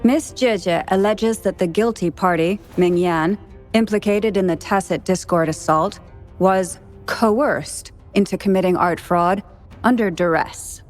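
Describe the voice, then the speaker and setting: Brief: woman playing an authoritative game judge, measured and formal, faint music behind. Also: medium pitch, close to an everyday voice. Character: composed female judge presiding over a hearing. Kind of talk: video game voice acting.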